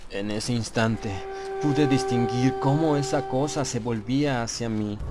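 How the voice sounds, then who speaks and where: A man reading a first-person horror audiobook as narrator, with dramatic, measured storytelling and deliberate pauses. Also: medium pitch, very noisy.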